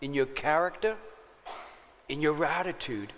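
A man preaches in a relaxed, conversational way, often pausing to check that his listeners are with him. His voice sits a little high for a man. The sound carries a long reverb, as if it were recorded in a large church hall. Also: a little hiss or hum. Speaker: pastor. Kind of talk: sermon.

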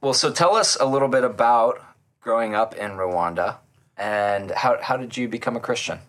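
A man's voice: medium pitch, moderate pace, a bit bright, no room sound. A man hosting a podcast in a conversational style. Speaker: podcast host.

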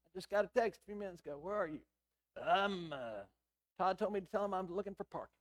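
A middle-aged man with a hoarse, raspy voice, preaching a sermon in a conversational, storytelling way with quoted dialogue. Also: high voice, expressive, quick pace. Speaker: pastor.

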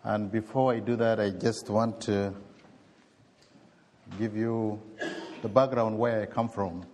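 Sudanese bishop with deep, halting English. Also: male voice, level tone, a little mellow, long reverb tail, slight background noise. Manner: slow, halting, heartfelt non-native English. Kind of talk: testimony in a church talk.

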